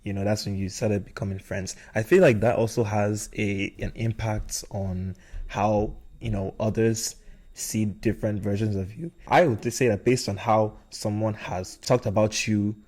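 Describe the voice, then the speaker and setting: A man's voice: fairly low pitch, fairly even intonation, normal speed, a little mellow. A young man hosting a podcast, talking casually in a reflective, explanatory way. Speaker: podcast host.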